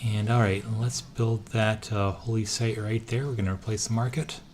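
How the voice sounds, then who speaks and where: A man with a hoarse, somewhat low voice narrates his moves in a strategy game. He thinks aloud in an easygoing way, pausing often on filler sounds. A steady hiss of noise runs under the recording. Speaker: let's-play gamer. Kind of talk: casual strategy game commentary.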